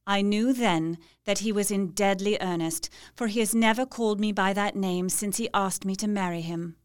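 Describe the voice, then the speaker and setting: A woman's voice: mid-range voice, normal speed, smooth, no room sound, studio quality, lightly acted. An actress playing a devoted young Victorian woman reading out her letter in an audio drama, earnest, tender and confiding. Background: music.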